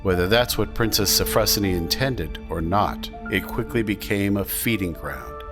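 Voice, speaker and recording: A man playing a reflective, eloquent storyteller in an audio drama, speaking in a formal, measured way. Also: low voice, lively intonation, music in the background. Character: reflective, measured male storyteller recalling court intrigue.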